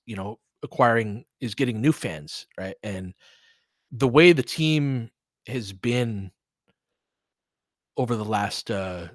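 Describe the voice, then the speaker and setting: A man's voice: medium pitch, dry recording. A man hosting a casual sports stream, talking conversationally and thinking aloud, often trailing off mid-thought, on a close microphone. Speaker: host of an audio play-by-play fan stream. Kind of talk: casual sports chat, answering listeners during play.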